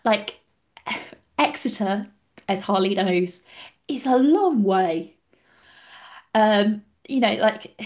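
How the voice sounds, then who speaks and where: A woman's voice: medium pitch, a little mellow. A woman with an English accent giving emphatic, outspoken opinions in a casual podcast discussion. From England. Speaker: podcast panellist.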